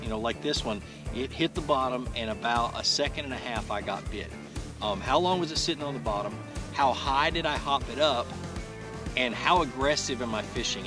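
A man in his thirties talks through his technique at an easy, relaxed pace, often stopping to restart a sentence. He is out on a lake in a kayak, picked up by an action camera fixed to the boat. Music plays clearly underneath his voice. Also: mid-range voice. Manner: relaxed, explains while casting, frequent restarts. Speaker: fishing video host. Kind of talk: casual how-to narration. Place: kayak on an open lake outdoors. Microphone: action camera mounted on the boat.

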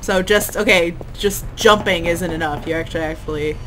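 A woman's voice: fairly low pitch, moderate pace, a bit bright, slight background noise. A woman chatting casually and playfully as she plays a video game, exclaiming and talking to herself. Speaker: gamer playing and commenting. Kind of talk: casual let's play chat with co-commentator.

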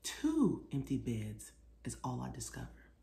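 A middle-aged woman reading a children's storybook aloud expressively, pausing to put questions to her young listeners. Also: rumbling low, wildly swooping pitch, creaky, mellow. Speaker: children's author reading her own book. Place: small living room, seated on a sofa. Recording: roomy indoor echo.

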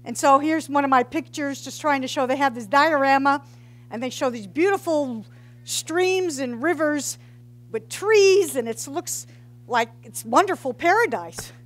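A woman's voice: high-pitched, lively intonation, measured pace. A middle-aged woman, a geology professor, giving an informal public science talk into a headset mic in a large hall, joking and quizzing the audience. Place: large hall with brick walls.

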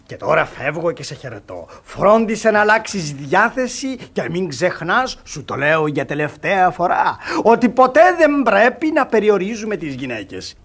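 An actor playing an urbane, tolerant older brother in a classical radio comedy, admonishing in a theatrical way. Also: male voice, high voice, big pitch swings, few pauses, fairly bright tone, exaggerated voice.

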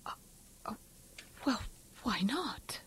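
Breathy, earnest young woman in love. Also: fairly high pitch, sing-song, slow pace, a bit bright, heavily acted. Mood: hesitant. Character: earnest, timid, lovestruck young missionary woman. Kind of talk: audio drama acting.

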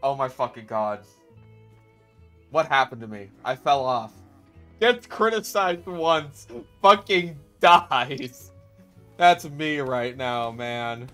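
A man giving joking gameplay commentary, sarcastic, swearing often, swinging between low deadpan mumbles and loud outbursts. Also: slow pace, long pauses, soft background music. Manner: swears often, riffs on memes and cartoon bits. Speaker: gaming channel host.

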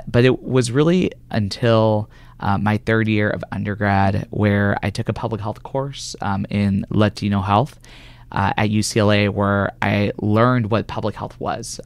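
A man's voice: lowish voice, gravelly, clear, resonant tone. A man from California answering conversationally as an interview guest, a government health official, in a radio studio. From California, United States.

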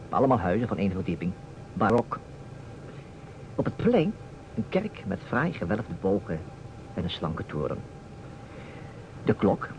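Deep, expressive self-assured detective. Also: male voice. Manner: measured, deliberate, dramatic pauses. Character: self-assured detective, slyly teasing storyteller and boastful mimic. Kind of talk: old Dutch radio play acting. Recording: muffled old radio recording, faint noise.

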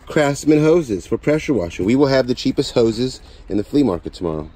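A man in his forties, chatty and excited, talking to viewers while unboxing outdoors beside storage units. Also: medium pitch, a little fast. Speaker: storage auction reseller. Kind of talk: casual unboxing vlog. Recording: outdoor noise behind voice.

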